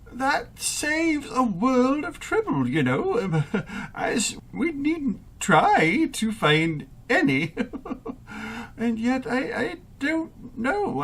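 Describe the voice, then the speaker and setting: A woman's voice: fairly high pitch, full character voice. A woman in a radio play acting as a dithering, easily amused King who stammers and hesitates.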